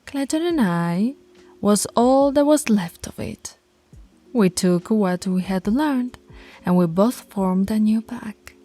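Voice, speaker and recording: A woman voicing a dominant, stern yet tender female alpha werewolf pack leader in an intimate audio roleplay, commanding and teasing, with a husky, breathy, creaky voice that often drops to murmurs. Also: mid-range voice, animated, takes it slowly, dark tone, dry recording, soft background music. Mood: proud, authoritative.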